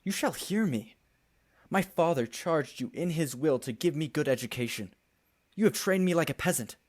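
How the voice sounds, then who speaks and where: A man's voice: fairly high pitch, a little mellow, natural voice. Man acting an aggrieved, defiant young nobleman. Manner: declamatory, impassioned. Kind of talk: Shakespearean radio play acting.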